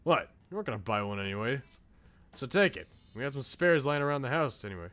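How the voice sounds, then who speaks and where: A man's voice: mid-range voice, lively intonation, fast, a bit bright, soft background music, close to an everyday voice. A man voicing a laid-back, loyal young guy in a visual novel, casual and earnest with slangy talk.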